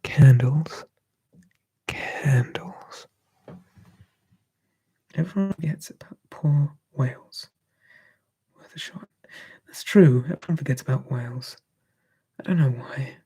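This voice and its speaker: ASMR streamer, gravelly mellow whisper, close mic, easygoing chat. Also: medium pitch, takes it slowly, long pauses. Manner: soft, drifting between whisper and quiet voice, musing.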